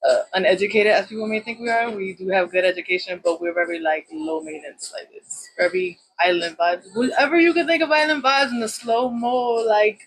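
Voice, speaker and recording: A woman's voice: mid-range voice, fairly quick, hardly any pauses, breathy edge, rough recording. A woman in her thirties from Puerto Rico, a salon owner telling her story in a loose, chatty interview style with plenty of fillers.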